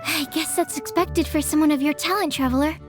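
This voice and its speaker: Young female alchemist for a game, woman performer, very high voice, gentle, modest, earnest and polite. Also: fairly quick, lightly acted. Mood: admiring. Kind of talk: video game cutscene voice acting. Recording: background music under the voice.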